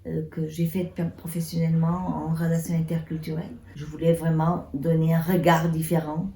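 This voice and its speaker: A woman's voice: sits low, level tone, moderate pace, warm tone. An older woman from northern Portugal, Portuguese her first language, speaking earnestly with hesitations in an interview. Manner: earnest, with hesitations and repeated words. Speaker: community activist and author. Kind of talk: interview and speech. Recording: echoey room with faint noise.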